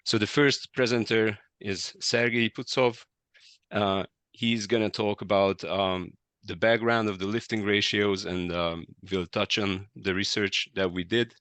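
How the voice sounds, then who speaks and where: A man's voice: deep voice, bright, forceful tone, no echo. A man hosting a webinar, introducing the session with many hesitant ums. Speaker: webinar host, app co-founder. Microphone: video call.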